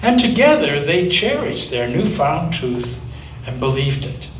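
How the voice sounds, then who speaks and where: A male pastor reading a picture book aloud to children, gently and with slow dramatic pauses. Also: on the high side, very animated. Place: church sanctuary. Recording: echoey church from a distance, faint noise.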